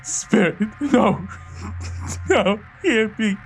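Frightened old male miser, slow pace, grave, halting, pleading, audio drama, light music underneath. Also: sits high, expressive, heavily acted. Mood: anguished.

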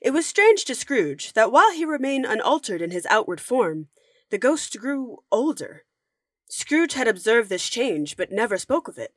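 A woman playing a grave storybook narrator, reading scripted audio drama with vivid, deliberate theatricality. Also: fairly high pitch, sing-song, fairly bright tone, no echo, studio quality. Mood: solemn.

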